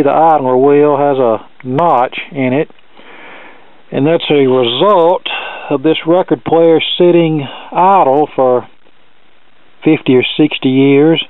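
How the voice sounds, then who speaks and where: A man's voice: fairly high pitch, no echo. A man giving a casual, matter-of-fact explanation of a hands-on repair, with a dry sense of humor, recorded in a workshop on a camera mic. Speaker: hobbyist repairer presenting a demonstration. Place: indoor cluttered electronics workshop. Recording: muffled, narrow phone-like sound.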